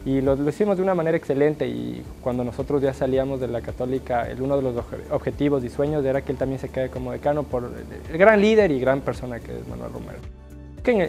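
A young man from Guayaquil who speaks with an Ecuadorian highland accent, giving an earnest, upbeat testimonial in a small studio, often restarting his phrases. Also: warm tone. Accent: Ecuadorian highland (serrano). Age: young adult. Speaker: alumnus interviewee. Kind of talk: sit-down testimonial interview.

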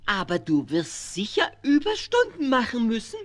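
Childish dino king for a kids radio play, squeaky high, bossy, swoops around. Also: normal speed, exaggerated voice. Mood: insistent. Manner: imperious, excitable, whiny. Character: bossy, childish young male dinosaur playing king.

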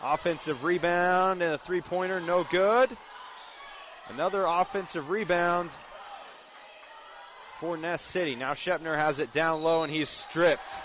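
A man's voice: a little high, steady tone, measured pace, long pauses, a bit bright. A young man doing live radio play-by-play commentary of a basketball game as the announcer. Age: young adult. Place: indoor high school basketball gym. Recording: clear gym noise behind commentary. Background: game noise, bouncing basketball.

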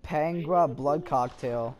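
A man from Canada giving casual gameplay commentary, excitable and swearing, a little hoarse from yelling. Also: a little high, slow, slight background noise. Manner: excitable, swears and yells at the game. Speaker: gameplay streamer.

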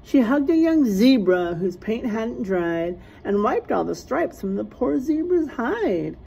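A middle-aged woman reading a rhyming picture book aloud like a teacher to young children, sing-song and deliberate, stressing rhymes and letters. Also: on the high side, sing-song, vocal fry, dark tone, slightly rough, muffled recording. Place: small classroom, indoors.

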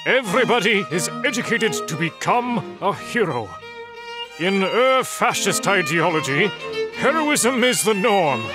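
High-voiced man narrating over music. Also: expressive, unhurried. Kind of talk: scripted narration, solemn reading of numbered points.

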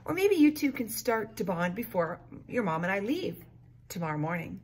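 A woman voicing a firm, resolute father in a children's story, speaking deliberately and emphatically. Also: medium pitch, sing-song, husky. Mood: reassuring. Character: firm, resolute father placating his daughter. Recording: noticeable room noise.

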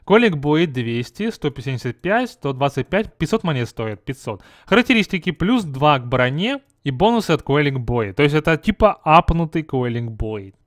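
A young man with a fairly high voice talks through a game update, chatty and joking. He keeps turning to the viewer with rhetorical questions. The sound is close and dry, with no room around it. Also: big pitch swings, normal speed, few pauses, no echo. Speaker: gaming video host. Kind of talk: casual patch review commentary.

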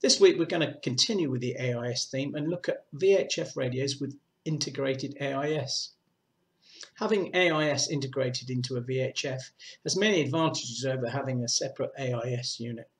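A middle-aged man presenting a product demonstration in a matter-of-fact, explanatory way. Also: medium pitch. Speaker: presenter of a boating shop's product video. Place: small indoor room with painted block walls. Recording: echoey small room.

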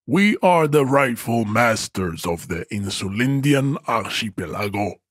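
Video game villain: imposing arrogant strongman, slow grandiose declamation with wide swings in pitch, contemptuous and formal. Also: animated, no room sound, clean studio sound. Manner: pompous, declamatory, formal lecturing delivery. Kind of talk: video game character acting.

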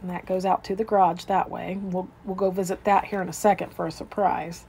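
A woman's voice: on the low side, level tone, fairly quick, darkish tone, slight background noise. A woman real estate agent narrating an informal, unscripted walkthrough of a home, describing each room as she moves through it. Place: indoors, moving through rooms of a house.

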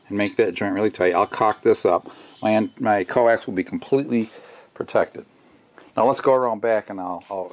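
A man's voice: on the low side, darkish tone, slight background noise, poor audio. A middle-aged man giving a casual, folksy hands-on how-to, thinking aloud as he works. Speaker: DIY workshop host. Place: outdoors by a house and in a barn workshop.

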